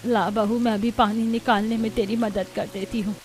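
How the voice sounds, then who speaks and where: A woman's voice: on the high side, little pitch movement, normal speed, lightly acted. Woman playing a firm old village mother-in-law. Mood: helpful.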